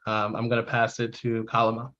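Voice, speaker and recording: A man's voice: medium pitch, monotone, a little fast, noisy background. A man with a mid-range voice shares reflections in a casual panel discussion. He is thoughtful and earnest, and the recording sounds a little dull and lo-fi.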